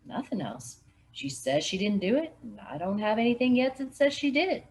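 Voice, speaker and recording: A woman's voice: fairly high pitch, sing-song, normal speed, darkish tone, pitched above the performer's own voice. A woman reading aloud, voicing a weary, guarded male lawman who speaks matter-of-factly.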